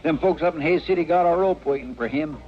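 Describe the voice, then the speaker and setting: An actor playing a simple, loyal, easily riled deputy stand-in, stammering homespun talk with a rural American drawl, in a radio western. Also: male voice, on the high side, fast talker, close to an everyday voice. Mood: bashful, plain. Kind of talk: old-time radio western acting. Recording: muffled, noisy old radio recording.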